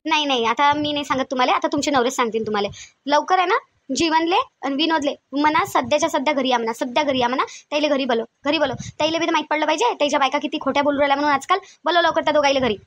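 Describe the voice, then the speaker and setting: A woman's voice: high voice, racing, dry recording, lightly acted. A woman voicing a bossy, suspicious older mother-in-law in a cartoon family skit, insistent and repetitive, with a Vidarbha Marathi accent. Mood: aggrieved, scolding. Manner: scolding, insistent, repeats her demands.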